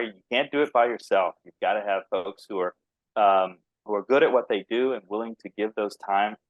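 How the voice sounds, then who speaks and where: A man's voice: on the low side, dry recording, dull audio. Easygoing laughing Texan man. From Texas. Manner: laughs often, easygoing and earnest. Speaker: biotech company founder, guest. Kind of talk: conversational interview answers.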